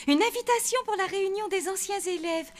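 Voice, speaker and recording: High, gushing zombie wife. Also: female voice, fairly quick. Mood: nostalgic excitement. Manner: effusive, theatrical. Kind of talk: French cartoon dub acting.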